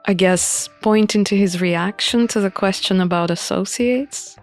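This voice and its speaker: A woman reading a visual novel aloud and commenting on it as she plays, in a deliberate manner. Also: mid-range voice. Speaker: game playthrough narrator. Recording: music under the voice.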